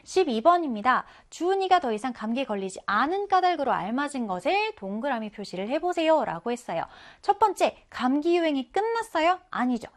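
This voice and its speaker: A woman teacher giving a children's reading lesson, explaining in a coaxing way and asking questions that she then answers. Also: sits high.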